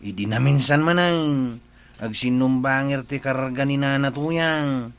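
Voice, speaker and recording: A man's voice: medium pitch, a little slow, clean tone, close to an everyday voice. A man playing an earnest, gossipy village nephew in an Ilocano comedy radio drama, with an Ilocano accent. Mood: tattling.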